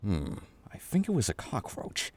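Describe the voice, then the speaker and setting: A man voicing a gentle, eccentric older chess king in an ASMR roleplay, reassuring and rambling in a halting way. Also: lowish voice, huge pitch swings, husky, dry recording, exaggerated voice. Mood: musing, fascinated.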